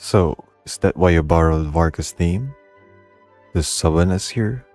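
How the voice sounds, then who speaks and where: A man playing a calm, terse young adventurer in a video game, speaking in an understated way. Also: sits low, unhurried, soft background music. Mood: calm, curious.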